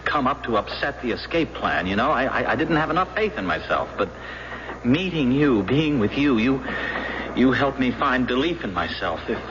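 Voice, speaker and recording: A man's voice: medium pitch, sing-song, moderate pace, close to an everyday voice. An actor playing a nervous, insecure young man in a 1970s radio drama, earnest, hesitant and stammering. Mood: earnest, grateful. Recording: dull, very noisy old radio recording.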